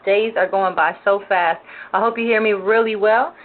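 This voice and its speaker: A woman's voice: mid-range voice, steady tone, normal speed. A woman hosting a religious talk show for women, greeting listeners and giving prayerful thanks with loose fillers. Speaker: host. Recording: noisy, tinny phone-line audio.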